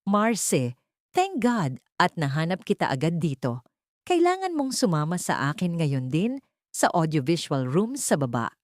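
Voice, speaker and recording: Alarmed loyal girlfriend in an audio story voiced by a woman, pleading, animated, dry sound. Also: on the low side, unhurried, dry recording. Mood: urgent, alarmed. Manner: urgent, pleading. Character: alarmed loyal female best friend, urgent and protective.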